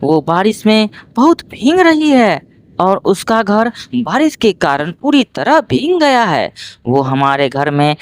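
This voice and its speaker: Man voicing a slow-talking, high-pitched greedy cartoon crow. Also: very animated, full character voice. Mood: greedy scheming. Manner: pleading, drawn-out, scheming. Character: hungry, greedy, whining male crow husband.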